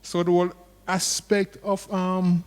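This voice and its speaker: A man speaking as a panelist in an online academic discussion, deliberate and lecturing. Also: sits high, monotone, clear, resonant tone, in a large hall.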